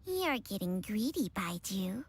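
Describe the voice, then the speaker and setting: A woman's voice: mid-range voice, very animated, unhurried, a little hiss or hum. A woman voicing a world-weary female snake spirit in a fantasy game, dry, knowing and teasing. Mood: wry reproach.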